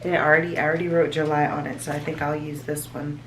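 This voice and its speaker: A woman with a raspy, allergy-hoarse voice, chatting casually to her viewers at home, rambling as she shows her planner. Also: low-pitched, little pitch movement, fast, echoey room, slight background noise, poor audio. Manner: rambling, chatty, talks to viewers as "you guys". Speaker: vlogger. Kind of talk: casual chat. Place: home, at a desk.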